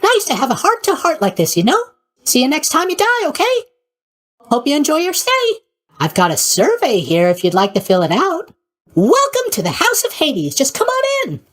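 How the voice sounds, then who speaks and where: A man's voice: extremely high pitch, huge pitch swings, moderate pace, fairly bright tone, full character voice. A man voicing a boyish, sleepy god of sleep in a video game, with a bubbly, scatterbrained, casually tactless manner. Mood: chatty, chipper. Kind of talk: animated video game voice acting.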